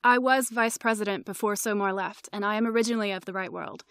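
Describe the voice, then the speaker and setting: An actress playing a courteous, diplomatic alien president in an audio drama, speaking in a formal, measured manner. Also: female voice, medium pitch, fairly bright tone, no room sound, lightly acted. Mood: formal, gracious.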